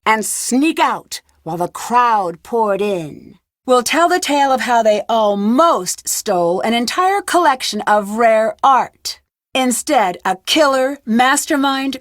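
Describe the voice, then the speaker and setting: A woman voicing a bold, composed stage storyteller in a video game, with deliberate theatrical delivery. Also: a little high, a bit bright, dry recording, clean studio sound. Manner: deliberate, dramatic.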